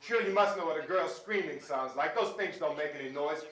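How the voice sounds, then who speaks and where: A man's voice: on the high side, fairly quick, a bit bright, lightly acted. An actor playing a practical, resolute man holding out in a 1960s horror film, firm and challenging. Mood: indignant. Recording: echoey, distant old film soundtrack.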